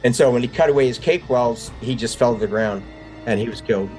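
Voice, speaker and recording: Older man, veteran interview guest. Mid-range pitch, conversational, anecdotal, slips into remembered dialogue. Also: a little fast, darkish tone, faint music behind, low-quality recording. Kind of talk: casual war-story telling.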